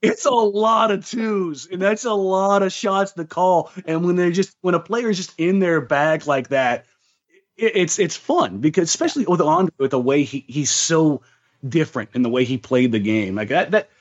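A man's voice: fairly high pitch, normal speed, dull audio. A man co-hosting a sports podcast in casual chat, often repeating words as he thinks aloud.

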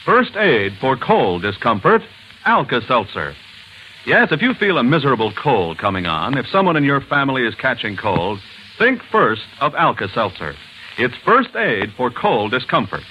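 A man's voice: mid-range voice, very animated, moderate pace. A man reading an old-time radio advertisement as an earnest, advisory announcer. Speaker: commercial announcer. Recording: dull, hissy old radio recording.

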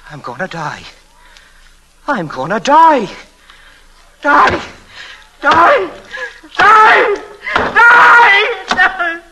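Unhinged young killer, wildly swinging pitch. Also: male voice, big pitch swings, very slow, long pauses, background noise, heavily acted. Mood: hysterical terror. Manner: muttering, repetitive, swinging into frenzy. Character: unhinged young male murderer, cunning, paranoid, childishly boastful. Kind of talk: old-time radio thriller acting. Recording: vintage radio drama with dramatic music.

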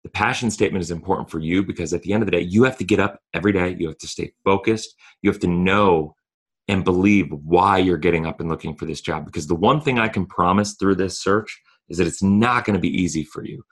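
A man hosting a solo podcast, talking in an earnest coaching tone with frequent restarts, hoarse from a cold. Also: sits low, fast talker. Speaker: podcast host.

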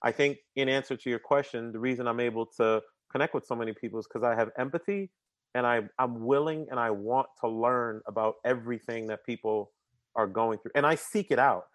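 A man in casual conversation as an interview guest, energetic and talkative. He often repeats a word as he starts a sentence and drops in casual slang. His voice sits at a middle pitch and the recording is dry and close, with little room sound. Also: fairly quick, no echo. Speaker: podcast guest.

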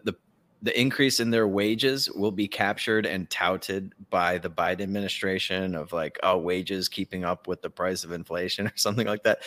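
Guy w really deep punchy voice just chatting on a podcast, kinda sardonic, swears a bit. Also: pushed and bright. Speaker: podcast co-host. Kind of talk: casual chat.

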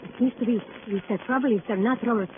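An actress playing a guarded, anxious sister in an old radio crime drama, halting and formal. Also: female voice, on the high side, lightly acted. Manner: halting, formal, repetitive questioning. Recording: noisy, tinny old radio recording.

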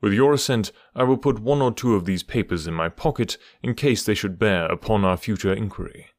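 A man's voice: lowish voice, no room sound, natural voice. A man doing a cool, dry-witted detective. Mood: cool, measured. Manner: measured, dry, ironic. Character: cool, precise, wry male detective. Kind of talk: audiobook character voice.

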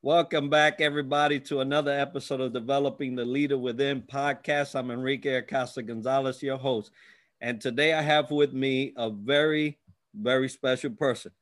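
A man's voice: mid-range voice, steady tone, normal speed, fairly bright tone. A man hosting an interview podcast, speaking in a deferential, somewhat formal way to his guest. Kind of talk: interview with scripted intro.

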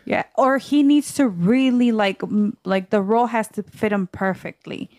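A woman's voice: mid-range voice, moderate pace, dark tone, no echo, studio quality. A woman co-hosting a casual group chat, speaking informally in loose conversational phrases. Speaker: podcast co-host.

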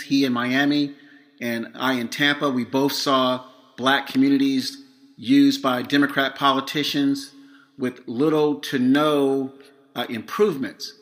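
A middle-aged man from Florida, slightly hoarse, hosting his podcast in a measured monologue read from notes with some fillers. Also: mid-range voice, fairly even intonation, fairly bright tone. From Florida, USA. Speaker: podcast host.

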